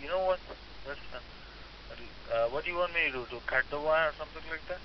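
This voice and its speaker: A male store worker speaks quickly on a phone call, with non-native grammar and a hesitant, resistant manner. His pitch is average, and the line is dull with clear hiss. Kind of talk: phone conversation.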